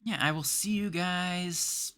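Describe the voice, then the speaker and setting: A man's voice: high-pitched, slow, fairly bright tone. A man from Canada chatting casually as he livestreams a game.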